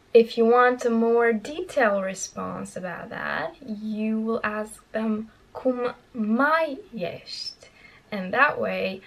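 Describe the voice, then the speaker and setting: A woman's voice: a little high, low-quality recording. Young woman teaching phrases on a lapel mic. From Romania. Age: young adult. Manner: slow careful repetition of phrases, lively explaining. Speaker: language teacher vlogger. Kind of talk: casual language lesson to camera. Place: small bedroom, indoors.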